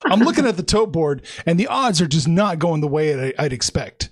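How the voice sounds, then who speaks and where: A man hosting a podcast, asking quick, jokey questions in a casual chat. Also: fairly high pitch, big pitch swings. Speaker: podcast host.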